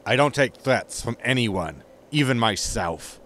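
An actor playing a cocky, quarrelsome spaceship captain, blunt, irritable and informal, in a science fiction comedy audio drama. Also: male voice, medium pitch, animated, unhurried, fairly bright tone. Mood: indignant, defiant.

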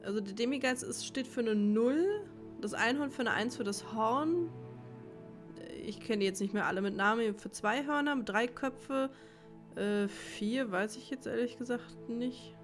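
A woman's voice: a little high, a little slow. A woman giving casual let's play commentary, thinking aloud and muttering to herself in a puzzled way as she works things out. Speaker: let's player, channel host. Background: game music.

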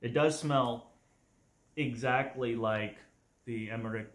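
Halting man co-hosting, echoey room. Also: medium pitch. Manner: short, halting remarks with repeated words. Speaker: co-host. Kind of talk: casual review chat.

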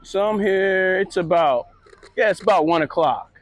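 A man's voice: sits high, moderate pace. Chatty man strolling an outdoor market. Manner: relaxed, chatty, pointing things out. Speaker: vlogger. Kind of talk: casual walking commentary. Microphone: handheld phone camera.

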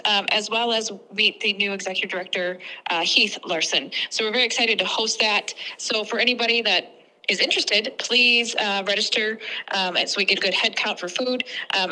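A woman's voice: mid-range voice, pushed and bright, low-quality recording. A woman on a school board giving an upbeat announcement with many um fillers, on a desk microphone in a boardroom. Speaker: board member. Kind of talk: meeting announcement.

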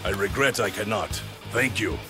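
An actor playing a stern, loyal, honorable warrior general in a video game, speaking in a formal, measured, solemn manner. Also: male voice, lowish voice, music in the background, natural voice. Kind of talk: video game cutscene acting.

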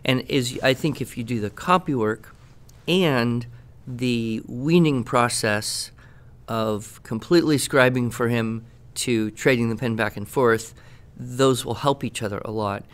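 Man explaining things in measured phrases. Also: mid-range voice. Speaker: education expert answering questions. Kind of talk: conversational advice podcast.

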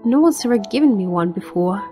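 Woman voicing a serious, reserved teenage girl, medium pitch. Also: expressive, brisk, warm tone, natural voice. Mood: shy, serious. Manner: terse, formal. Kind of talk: animated comic dub acting. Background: faint music.

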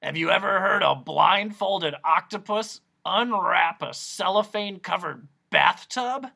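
Hoarse, croaking, boisterous eccentric man. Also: mid-range voice, animated, measured pace, voice pushed up, exaggerated voice. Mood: boisterous, proud. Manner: loud, insistent, gleeful, badgering. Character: raspy, croaking, eccentric, boisterous male doctor of noise. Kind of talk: children's audiobook character voice.

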